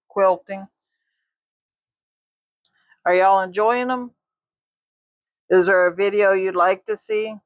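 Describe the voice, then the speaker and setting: A woman's voice: medium pitch, dry recording. An older woman teaching a quilting tutorial, chatting with her viewers while she sews. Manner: folksy, talks to viewers while sewing.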